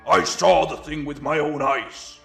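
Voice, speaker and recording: A man voicing a grave eyewitness in a fantasy story, gravelly, giving an ominous and measured account. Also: fairly high pitch, fairly even intonation, recorded from a distance, faint music behind. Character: grave eyewitness recounting a doomsday weapon. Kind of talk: fantasy audiobook character acting. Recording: echoey, off the mic.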